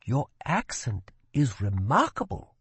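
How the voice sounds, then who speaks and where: A man's voice: medium pitch, huge pitch swings, takes it slowly, fairly bright tone, slightly rough, dry recording, pitched above the performer's own voice, exaggerated voice. A man voicing a lovestruck young woman who speaks careful, accented, formal English. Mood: curious. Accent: foreign-accented English.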